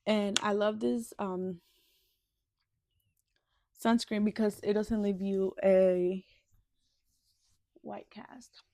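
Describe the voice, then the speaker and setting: A woman's voice: mid-range voice, little pitch movement, extremely slow, pauses often, mellow, no echo, studio quality. A woman in her twenties from the Dominican Republic, chatting casually and ramblingly as a vlogger getting ready. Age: 20s. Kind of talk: casual get-ready-with-me chat.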